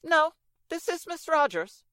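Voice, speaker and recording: Radio-drama building manager female actor: proper, fussy, courteous, with animated intonation. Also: extremely high pitch, measured pace, heavily acted. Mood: apologetic. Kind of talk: 1940s radio drama acting.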